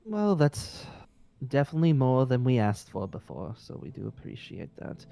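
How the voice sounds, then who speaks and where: A man's voice: mid-range voice, huge pitch swings, moderate pace, gravelly, mellow. A man playing a skeptical, stubborn, centuries-old dragon slayer in an improvised fantasy game roleplay. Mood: cautious. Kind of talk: improvised Minecraft roleplay acting.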